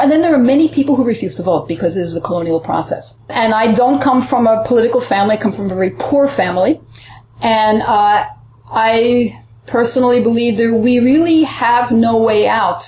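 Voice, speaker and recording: A woman from Puerto Rico speaks earnestly as an interview guest, pausing and restarting as she searches for her words. Her voice sits at a middling pitch. The room around her is echoey, with a faint hum of background noise. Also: darkish tone. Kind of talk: reflective interview answers.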